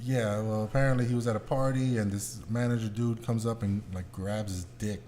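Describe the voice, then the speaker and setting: A man's voice: fairly low pitch, steady tone, fairly quick, mellow. A man hosting a podcast in casual, informal chat, with slangy talk and some swearing. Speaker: podcast host.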